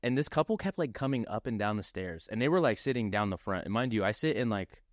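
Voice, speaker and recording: A man's voice: fairly low pitch, lively intonation, quick pace, dry recording, clean studio sound. A man chatting and telling stories casually as a podcast co-host, full of slang and swearing. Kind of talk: casual chat and storytelling.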